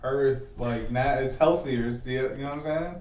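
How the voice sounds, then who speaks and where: A man's voice: medium pitch, normal speed, darkish tone. A man in his twenties hosting a casual podcast chat, slangy and sweary, often saying bro and dude, in an echoey-sounding recording. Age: 20s. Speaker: podcast host.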